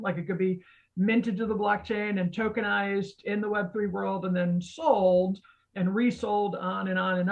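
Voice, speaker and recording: A woman's voice: mid-range voice, creaky. A woman explaining technology conversationally as an expert guest, in a didactic way that often checks in with the listener. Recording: dull, lo-fi audio.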